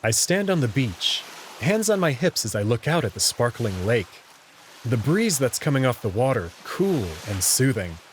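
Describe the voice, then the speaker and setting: A man's voice: mid-range voice, big pitch swings, normal speed, a little hiss or hum. A man reading first-person visual novel narration and dialogue as the story's protagonist.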